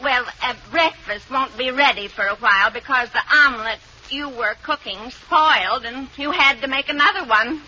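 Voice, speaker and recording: An actress playing a chatty, deadpan housemaid in a 1940s radio sitcom. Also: female voice, sits high, normal speed, few pauses, close to an everyday voice. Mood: gossipy. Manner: gossipy, deadpan. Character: chatty older female housemaid Katie. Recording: muffled old radio broadcast.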